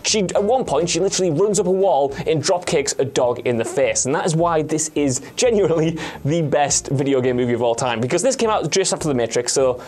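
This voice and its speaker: Male presenter, slightly high voice, keen and rambling, self-deprecating, informal film chat. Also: lively intonation, a little fast, few pauses, darkish tone. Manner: enthusiastic, self-mocking, rambling. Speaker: video game show presenter. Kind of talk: casual film opinion chat.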